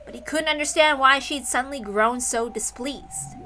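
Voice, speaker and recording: Bright, high-voiced woman narrating a story. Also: normal speed, soft background music. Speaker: reader of a visual novel.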